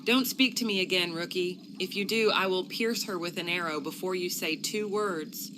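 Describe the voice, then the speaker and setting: A woman's voice: fairly low pitch, normal speed, close to an everyday voice. Bitter elf archer woman voiced by an actress, noisy backdrop. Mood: bitter, threatening. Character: furious, bitter female elf archer inquisitor. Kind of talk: scripted video game cutscene acting.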